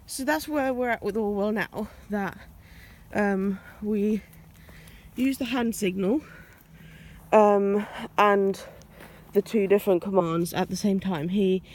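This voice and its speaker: Slow-paced woman. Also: medium pitch, a little mellow. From Finland. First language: Finnish. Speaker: dog owner and vlogger. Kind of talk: casual vlog talk. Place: outdoors on a walk.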